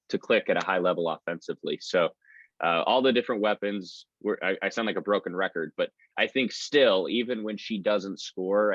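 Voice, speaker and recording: A talkative man, a play-by-play announcer, giving discursive, conversational sports analysis as an interview guest. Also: deep voice. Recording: dull, lo-fi, roomy sound.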